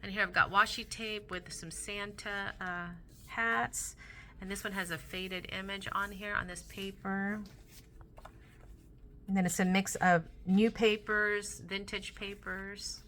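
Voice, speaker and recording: Chatty, slightly hoarse woman, bright voice. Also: mid-range voice, flat delivery, measured pace, slight background noise. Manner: chatty, rambling, with many fillers and restarts. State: slightly hoarse from losing her voice. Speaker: crafting channel host. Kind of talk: casual show-and-tell crafting vlog. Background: soft Christmas music.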